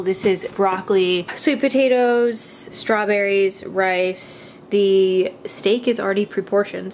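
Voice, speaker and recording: A woman's voice: medium pitch, a little hiss or hum. A woman in her twenties chatting casually to a vlog camera at home, informal, slangy and enthusiastic. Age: 20s. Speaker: vlogger. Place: indoors at home, kitchen, bedroom and living room.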